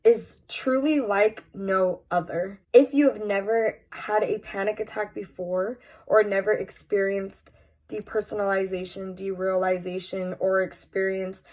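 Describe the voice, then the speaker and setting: A young woman talks straight to her camera, her voice stuffy and a little nasal from a cold. She speaks candidly and earnestly, in a loose conversational way, as if confiding in her followers. Her pitch sits in a middle range for a woman. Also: fairly even intonation, unhurried, darkish tone. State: congested, sounds nasal from a cold. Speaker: vlogger. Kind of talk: personal confessional vlog.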